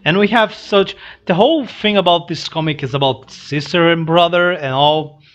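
A young man who is not a native English speaker, giving casual reaction commentary in a halting, hesitant way into a headset mic. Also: on the high side. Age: young adult. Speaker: reaction channel host. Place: small room indoors. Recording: dull lo-fi headset audio.

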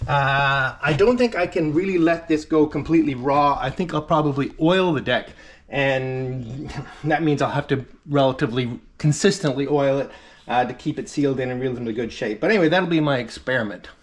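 A man's voice: medium pitch, fairly quick. A middle-aged man narrating his wooden boat restoration casually while he works, enthusiastic and chatty, with fillers and laughs. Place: wooden boat cabin interior.

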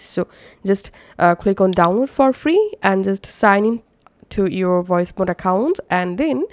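Woman narrating a software tutorial. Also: fairly low pitch, dry recording.

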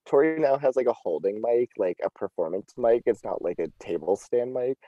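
A young man as a podcast guest, teasing the host with playful deadpan asides in casual chat. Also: mid-range voice, moderate pace, no room sound, muffled recording.

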